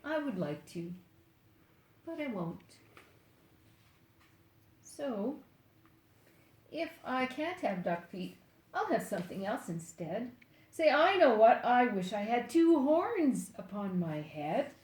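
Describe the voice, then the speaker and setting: An older woman reading a children's book aloud expressively and deliberately, playfully speaking straight to young listeners. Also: a little high, sing-song, darkish tone, reverberant, a little hiss or hum. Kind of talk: expressive picture-book read-aloud with asides.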